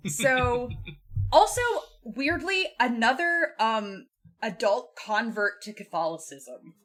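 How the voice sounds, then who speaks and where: Bright, higher-voiced woman podcast host. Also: animated, slow. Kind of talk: casual book discussion.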